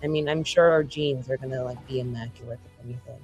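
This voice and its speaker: A woman's voice: a bass voice, fairly even intonation, quick pace, darkish tone, breathy edge, muffled recording, faint music behind, pitched below the performer's own voice. A woman voicing a brash, headstrong warrior lover in a tabletop game, bawdy and playful but earnest. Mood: teasing, tender.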